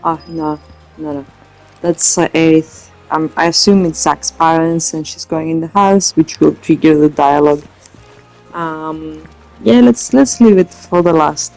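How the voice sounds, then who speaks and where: A woman's voice: low voice, unhurried, darkish tone, soft background music, rough recording. A woman giving casual let's play commentary, hesitant and thinking aloud as she goes. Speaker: gamer, let's play host.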